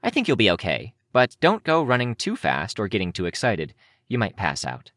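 A man's voice: on the low side, huge pitch swings, moderate pace, no room sound, studio quality, voice pushed up. A man voicing a cheery young girl prodigy in a story, eager and morbidly clinical, with a bouncy, curious manner. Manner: bright, bouncy, gleefully curious.

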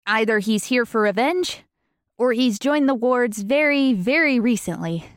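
A woman's voice: a little high, animated, measured pace, clean tone, no echo. Dry-sounding, knowing teenage girl, voiced by a woman. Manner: matter-of-fact, knowing. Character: clever, self-assured teenage girl explaining tactics, unapologetic.

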